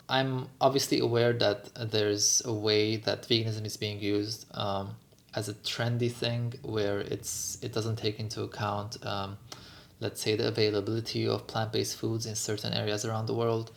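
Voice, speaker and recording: A man from Lebanon, a native Armenian speaker, answering questions in a casual, earnest, measured vlog style as an activist host. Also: on the low side, creaky. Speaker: vegan activist and video host.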